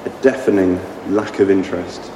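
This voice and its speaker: A man, his voice a bit croaky, preaching a sermon with halting restarts and many ums. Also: deep voice, steady tone. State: slightly croaky voice. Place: church hall. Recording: echoey hall from far off, noisy.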